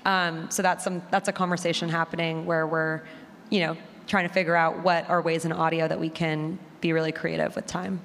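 A woman's voice: sits low, flat delivery, a little fast, vocal fry, a bit bright. A woman speaking informally and unscripted as a panelist in a discussion. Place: panel in a hall. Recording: hall reverb, faint noise.

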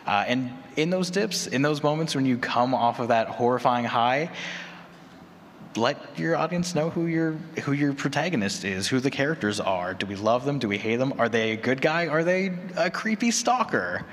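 A man's voice: mid-range voice, expressive, moderate pace, fairly bright tone. A man giving practical advice in a panel talk. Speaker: panelist and horror fiction podcaster. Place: large indoor room at a convention panel. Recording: echoey hall with clear background noise.

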